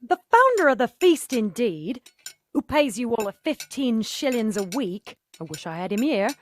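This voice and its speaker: A woman's voice: on the high side, sing-song, moderate pace, a little hiss or hum. A woman playing a motherly, outspoken wife of a poor household in a theatrical radio play. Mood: indignant. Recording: faint dish clinking behind voice.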